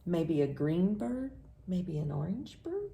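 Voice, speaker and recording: A woman's voice: fairly low pitch, big pitch swings, takes it slowly, gravelly, warm tone. An American woman hosting storytime for young children, reading in a singsong way and asking simple questions, then affirming the answers. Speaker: children's librarian, storytime host.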